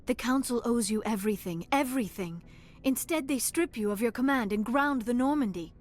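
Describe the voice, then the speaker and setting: A woman playing an earnest, devoted ally in a science fiction video game, sincere and gentle. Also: a little high, brisk, lightly acted. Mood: indignant, earnest. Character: earnest, devoted young female ally of the commander. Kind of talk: cinematic game voice acting.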